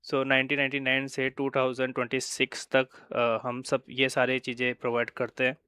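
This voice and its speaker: A man's voice: medium pitch, completely flat delivery, normal speed, bright, forceful tone, smooth, no echo. An Indian man giving an informal explanatory product presentation, hesitant, mixing in English phrases and often checking in with short tag words. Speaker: company presenter of wireless communication products.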